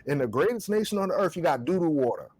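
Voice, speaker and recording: A man's voice: on the high side, sing-song, quick pace, a little mellow, dry recording. A man speaking in an impassioned, preacher-like podcast discussion, stressing his points with rhetorical questions and scripture. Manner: emphatic, rhetorical, quotes scripture. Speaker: podcast co-host.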